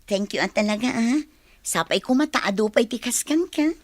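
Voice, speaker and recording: Young teacher in a radio play, friendly, gushy, highish voice. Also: female voice, lively intonation, normal speed, no echo. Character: friendly, grateful young single female schoolteacher. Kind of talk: radio drama acting.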